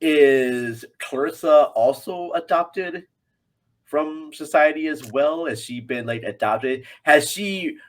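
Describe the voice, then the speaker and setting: A man's voice: on the high side, takes it slowly. Rambling, jokey man chatting about film. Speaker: podcast guest and co-commentator. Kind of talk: casual chat about a film.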